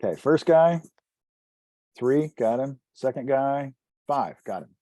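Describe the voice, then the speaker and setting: A man with a medium-pitched voice plays a tabletop game. He talks casually, working through his turn with the others. The recording is dry and free of room echo. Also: animated, slow pace, warm tone.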